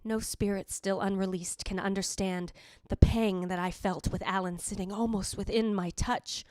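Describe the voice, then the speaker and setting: A woman's voice: mid-range voice, fairly even intonation, normal speed, a little mellow, no echo, clean studio sound, close to an everyday voice. A woman playing the yearning, possessive ghost of a dead wife, narrating formally in old-time radio drama style. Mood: longing. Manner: formal, literary, theatrical delivery.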